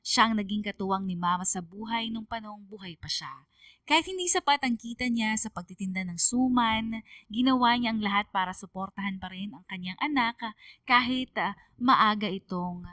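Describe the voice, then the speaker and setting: A woman's voice: medium pitch, moderate pace, no room sound, clean studio sound. A woman giving scripted, dramatic narration of a story for radio. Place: dry studio. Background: soft music.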